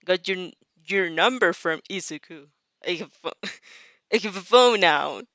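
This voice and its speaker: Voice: mid-range voice, animated, measured pace, a bit bright, dry recording. A streamer reading the part of a naive, polite young female vampire, in short, awkward, friendly sentences.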